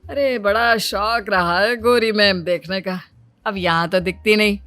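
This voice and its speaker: Middle-aged Indian father for a comic tale, played by a man: greedy, grumbling joker, sharp and dry-recorded. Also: high-pitched, fairly even intonation, unhurried, dry recording. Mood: jovial, leering. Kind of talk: exaggerated comic story acting.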